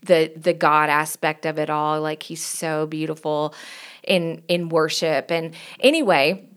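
A woman's voice: deep voice, steady tone, measured pace, clean tone. A woman in her forties from Tennessee with a Southern accent, chatting earnestly as a pastor and podcast co-host. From Knoxville, Tennessee. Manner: warm, earnest, rambling with restarts. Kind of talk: casual chat.

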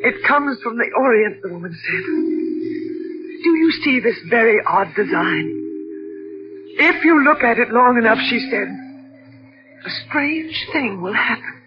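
An actress playing a determined, overwrought young daughter with intense delivery, in 1940s radio mystery style. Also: female voice, fairly high pitch, lively intonation, unhurried, pauses often, over music. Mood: intent, defiant. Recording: noisy, dull vintage radio recording.

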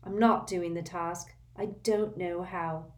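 A woman's voice: fairly low pitch, steady tone, slow pace, recorded from a distance. A woman voicing an anxious teenage boy wizard who talks in frantic, breathless questions, in a storybook reading.

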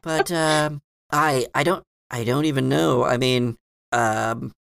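Tabletop RPG character: bookish, proper female librarian played by a man, musing and hesitant, mid-pitched with gravelly fry, close dry sound. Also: a bit bright, no echo. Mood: hesitant, preoccupied. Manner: halting, formal, professorial phrasing.

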